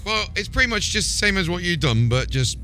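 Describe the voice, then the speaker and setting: A man's voice: high voice, sing-song, a little fast, bright, forceful tone, soft background music. A man hosting a live gaming stream, talking casually as he plays and troubleshoots.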